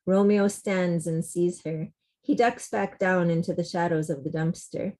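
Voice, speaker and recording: A woman's voice: fairly low pitch, measured pace, a little mellow. A woman reading scripted stage directions as narrator of a table read over a video call.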